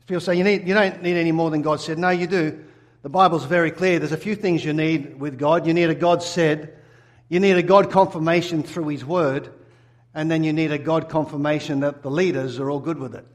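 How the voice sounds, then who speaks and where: A man's voice: fairly high pitch, steady tone, a little fast. A middle-aged Australian man from Sydney, preaching a sermon and telling his own story earnestly, putting questions to the congregation. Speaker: pastor. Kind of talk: sermon with personal testimony.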